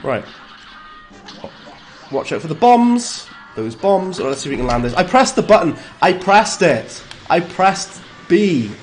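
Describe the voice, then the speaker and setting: A man's voice: sits high, very animated, a little slow, faint music behind. A man with a Welsh accent streaming a game live, chatting casually, greeting viewers and reacting aloud to the play. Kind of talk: live gameplay commentary and chat replies.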